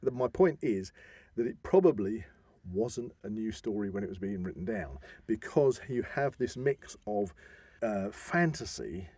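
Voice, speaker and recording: Low, mellow, slightly husky man. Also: big pitch swings, a little slow, no echo. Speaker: podcast co-host. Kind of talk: explanatory podcast conversation about a story.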